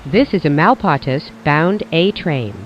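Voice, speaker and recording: Transit announcer: measured, formulaic scripted delivery with animated pitch, dull recording over faint vehicle noise. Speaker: train announcer.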